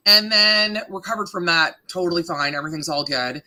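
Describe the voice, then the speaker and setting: A woman in her thirties from Canada with a deep voice, a radio morning host chatting casually in an interview, laughing often and filling with ums. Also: brisk, sharp tone. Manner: chatty, laughing, self-deprecating, many fillers.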